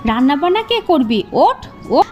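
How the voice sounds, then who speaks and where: A woman voices a cruel cartoon mother with a high voice. She is bad-tempered and sharp-tongued, forever scolding and accusing, over faint music. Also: big pitch swings, normal speed. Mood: angry, scolding. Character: cruel, bad-tempered mother who bullies her child. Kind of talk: cartoon melodrama acting.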